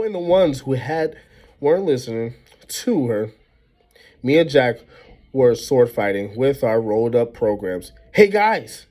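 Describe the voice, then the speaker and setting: A man reading a children's novel aloud in an animated way, as a storyteller acting out the dialogue. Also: medium pitch. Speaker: reader reading a book aloud.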